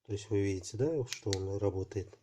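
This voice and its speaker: A man hosting a casual unboxing video, talking haltingly and thinking aloud while his voice is affected by a cold. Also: lowish voice, noisy background. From Russia. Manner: halting, thinking aloud, many fillers like "so" and "there". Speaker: channel host unboxing parcels.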